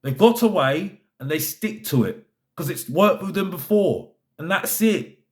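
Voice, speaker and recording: Exasperated man on a higher-pitched football rant. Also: animated, measured pace. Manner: emphatic, repeats phrases for effect; exasperated, fed up. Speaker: football fan vlogger. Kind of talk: opinionated fan rant to camera.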